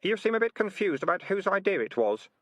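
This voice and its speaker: A calm young interrogator from a storybook voiced by a man, with quiet menace. The delivery is pointed and dry in wit, with a lightly raised, husky voice and a close, dry sound. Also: high-pitched, a little fast, dry recording, pitched above the performer's own voice, exaggerated voice. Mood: calm, menacing.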